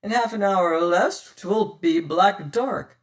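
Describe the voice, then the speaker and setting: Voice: medium pitch, slow pace, far from the mic, close to an everyday voice. A reader voicing a bold, cunning male pirate captain who talks hearty old-fashioned sea talk. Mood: commanding.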